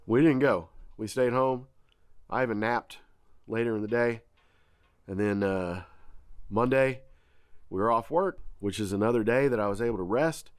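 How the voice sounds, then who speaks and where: A man's voice: mid-range voice, dry recording, studio quality. A man, congested, chatting casually to viewers in a homestead vlog. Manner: folksy, chatty, addresses viewers as y'all. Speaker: farm vlogger. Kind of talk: casual vlog talk to camera.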